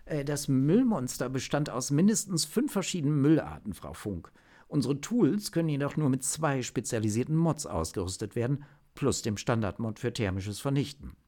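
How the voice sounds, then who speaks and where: A man's voice: mid-range voice, expressive, normal speed, mellow, voice pushed up, natural voice. A man voicing an eager, inventive male tech agent who explains technical things earnestly in a story. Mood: earnest, regretful.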